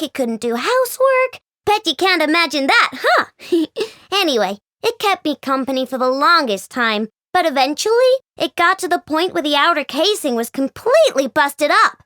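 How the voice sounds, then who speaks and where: Bubbly kid tinkerer: high, lively voice, close dry game recording. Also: female voice, no room sound, exaggerated voice. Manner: bubbly, lively, quick mood swings. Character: bubbly young girl robot inventor, chatty and playful. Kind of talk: video game voice lines.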